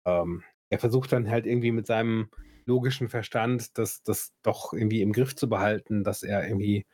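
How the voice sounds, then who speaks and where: A man with a fairly low voice chatting casually on a podcast. He talks in a laid-back way, pausing on fillers as he recounts things, and the recording is dry and close. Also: darkish tone, no room sound. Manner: laid-back, many short backchannels and hesitant fillers. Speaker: podcast co-host. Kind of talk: casual chat.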